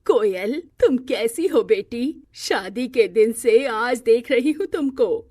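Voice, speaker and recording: A woman's voice: on the high side, animated, slow, warm tone, no echo, studio quality. A woman voicing a doting, concerned elderly grandmother in a cartoon moral story.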